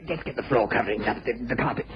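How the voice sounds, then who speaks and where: A man's voice: medium pitch, fast talker, natural voice. An actor playing a furtive, desperate male spy, urgent and agitated, in an old-time radio crime drama. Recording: muffled, noisy old radio recording.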